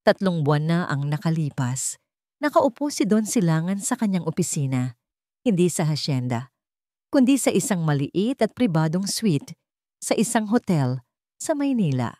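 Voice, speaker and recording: A woman's voice: fairly low pitch, very animated, normal speed, dark tone, dry recording, studio quality. A woman reading out a dramatic story as its narrator, in scripted storytelling style.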